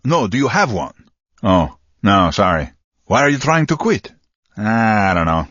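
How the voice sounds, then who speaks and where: A man's voice: fairly low pitch, very animated, measured pace, fairly bright tone, no room sound, dull audio, exaggerated voice. An actor playing a casual, slangy henchman guard making idle small talk in a video game. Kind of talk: scripted comic game guard banter.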